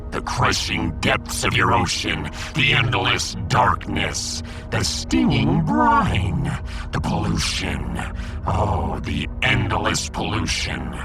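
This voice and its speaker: A man's voice: rumbling low, full character voice. A man voicing a pompous, gloomy little alien fish emperor in a sci-fi audiodrama, theatrical, long-winded and imperious. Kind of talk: sci-fi audiodrama character acting.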